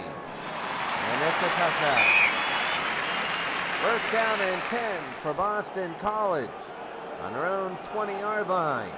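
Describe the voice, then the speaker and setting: A man calling American football play-by-play as announcer, excitable and repeating phrases for emphasis. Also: high-pitched. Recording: loud crowd roar and heavy noise. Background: roaring stadium crowd.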